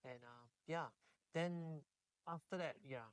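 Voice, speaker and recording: A man's voice: a little high, takes it slowly, clear, resonant tone, dry recording. A middle-aged man with a Singaporean accent, talking casually as an interview guest. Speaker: podcast guest, real estate agent.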